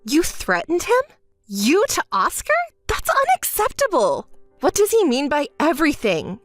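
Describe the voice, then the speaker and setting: A woman voicing a calm, fed-up young woman who answers in a level, dry, scolding way in a dramatized story. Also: sits high, unhurried, no echo, soft background music, full character voice. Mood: cool.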